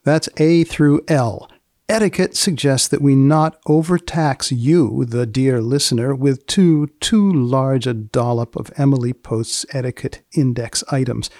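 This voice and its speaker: A man's voice: medium pitch, unhurried, darkish tone, no room sound, studio quality. A man hosting a podcast in a wry, mock-formal way. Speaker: host.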